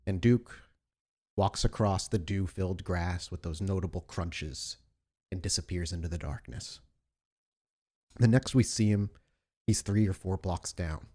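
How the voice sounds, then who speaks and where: Deep-voiced male game master narrating. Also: dark tone. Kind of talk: atmospheric tabletop game narration.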